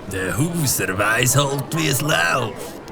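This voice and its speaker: A man playing a boastful, swaggering fireworks show-off in a children's audio drama, with a Swiss German accent and a drawn-out delivery. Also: medium pitch, gravelly, heavily acted. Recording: music and crowd noise behind. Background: music and a crowd.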